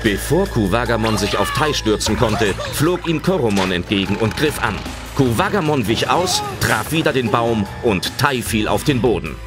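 A man's voice: medium pitch, lively intonation, moderate pace, over loud music, lightly acted. A man narrating a German children's audio drama as a dramatic scripted storyteller.

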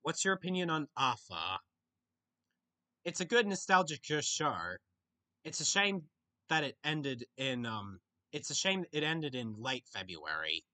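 A young Australian man reads out viewer questions and answers them off the cuff on a webcam in a small bedroom. His voice is bright and fairly high, and his pitch swings up and down a lot. He often stops, restarts and thinks aloud. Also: very animated. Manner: halting, with frequent restarts and pauses to think. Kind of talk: casual Q&A chat.

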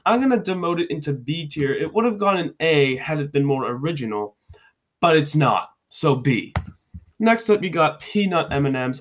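A congested young man giving a joking, rambling rundown of candy rankings into his webcam at home. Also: fairly high pitch. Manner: joking, rambling, self-correcting. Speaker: vlogger. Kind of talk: casual ranking commentary.

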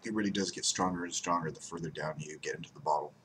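A man's voice: low-pitched, steady tone, very fast, a bit bright, a little hiss or hum. A young man casually reviewing a beer at home, rambling and repeating words for emphasis, recorded on a desk webcam. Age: young adult. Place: home room by window blinds, indoors.

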